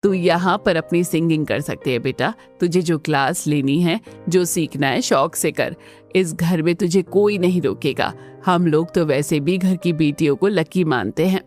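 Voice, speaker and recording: Woman acting cartoon mother-in-law, middle-aged, kindly, low voice, soft music behind. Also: moderate pace, close to an everyday voice. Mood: reassuring. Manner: reassuring. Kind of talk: Hindi family cartoon dubbing.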